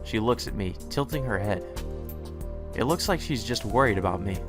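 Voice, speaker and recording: A man hosting a let's play, reading a visual novel's first-person text aloud in a measured, slightly hesitant way. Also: lowish voice, lively intonation, over music.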